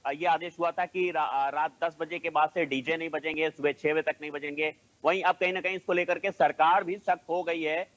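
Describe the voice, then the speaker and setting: A man's voice: on the high side, a bit bright, poor audio. A man with a North Indian accent reporting live as a news correspondent, hesitating and leaning on stock linking phrases, over a band-limited link.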